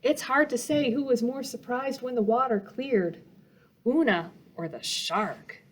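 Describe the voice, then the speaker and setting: Woman, higher-pitched voice, unhurried pace, children's storytime read-aloud, expressive emphasis. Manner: engaging young listeners with questions and dramatic emphasis. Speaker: library storytime reader.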